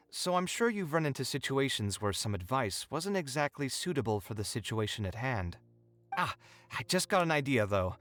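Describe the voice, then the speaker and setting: A man's voice: medium pitch, lightly acted. A man playing an earnest, slightly wry young architect in an anime-style video game, speaking in an articulate, thoughtful, storytelling way. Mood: reflective, hopeful. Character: earnest young male architect reminiscing, expressive, a little wry.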